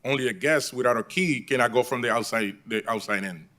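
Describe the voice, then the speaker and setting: A man with a Puerto Rican accent speaking for a property owner at a city board meeting, halting and restarting his sentences. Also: mid-range voice, pushed and bright. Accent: Puerto Rican Spanish. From Puerto Rico. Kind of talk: public meeting testimony.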